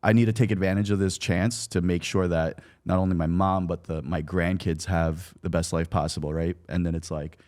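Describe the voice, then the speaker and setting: A man talking casually and reflectively about personal things, in a halting way peppered with "like". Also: low voice, fairly even intonation, darkish tone. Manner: halting, reflective, heavy use of "like".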